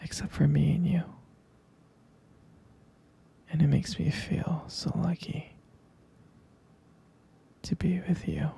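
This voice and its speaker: A man playing an adoring, tender vampire lover in an intimate ASMR roleplay, whispering breathily and haltingly with gravelly fry. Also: fairly high pitch, level tone, full character voice. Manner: slow, halting, softly repeating himself. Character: adoring, tender male vampire lover soothing his sweetheart.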